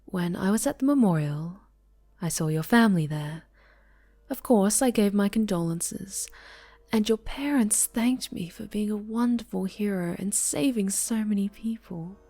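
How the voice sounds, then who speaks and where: A woman playing a possessive, sinister winged superhero in an intimate ASMR roleplay, cooing with soft menace and sometimes dropping to a breathy whisper. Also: mid-range voice, measured pace. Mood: possessive, sweetly sinister. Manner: cooing, condescending, softly menacing. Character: possessive, sinister winged female superhero, obsessive dark angel.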